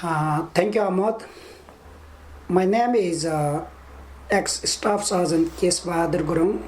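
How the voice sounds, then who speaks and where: A man in his fifties with a Nepali accent recounts his own life in an interview. He talks very slowly and haltingly, measuring out each phrase. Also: high voice, a little mellow, slight background noise. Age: 50s. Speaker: interviewee, army veteran.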